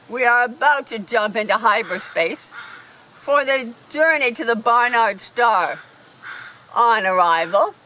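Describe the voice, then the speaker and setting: An actor plays a pompous alien starship captain, bitter and full of contempt. The voice is sharp and forceful, issuing curt radio-play announcements over a clearly noisy recording. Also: mid-range voice. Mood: officious. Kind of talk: amateur radio play acting.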